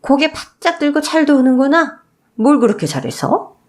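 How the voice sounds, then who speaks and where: A woman's voice: high voice, very animated, normal speed, a little mellow, voice pushed up. A woman voicing a spiteful old mother-in-law who scolds and belittles with exasperated exclamations in a radio story drama. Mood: contemptuous, scolding. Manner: scolding, contemptuous, sighing exclamations.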